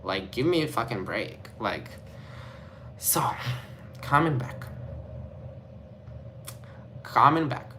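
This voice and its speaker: A man's voice: mid-range voice, expressive, slow pace, pauses often, noisy background. A young man from Ukraine with a Ukrainian accent, chatting casually to camera in a vlog, rambling and filling his talk with "like" and "you know". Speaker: vlogger.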